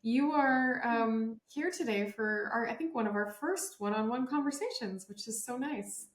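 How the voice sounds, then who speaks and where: A woman's voice: fairly high pitch, normal speed. A woman hosting an interview conversationally, searching for her words as she goes. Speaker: interview host, coaching academy founder. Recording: roomy, echoey sound.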